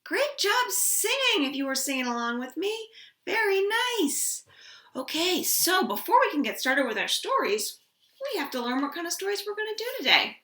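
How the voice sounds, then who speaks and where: A woman hosting a storytime for young children, speaking playfully and simply in an animated way, recorded indoors at home. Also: very high-pitched, normal speed. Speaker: children's storytime host at a library. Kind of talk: children's storytime with songs and rhymes. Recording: roomy, echoing home recording.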